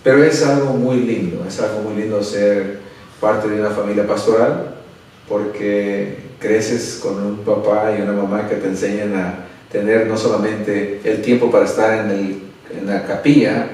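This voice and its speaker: Man, middle-aged, pastor, earnest, unhurried, echoey, distant mic. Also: on the low side, fairly even intonation, a little mellow, slight background noise. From El Salvador. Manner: unhurried, earnest, frequent tag questions. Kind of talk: interview answers and prayer. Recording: echoey room, a bit far from the mic.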